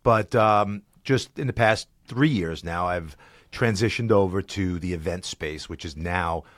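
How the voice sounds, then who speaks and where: A man with a slight cold, chatting as a podcast guest in a studio, telling animated stories and laughing often. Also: low voice, measured pace, dry recording. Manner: animated storyteller, laughs often, slips into voices. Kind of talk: casual interview chat.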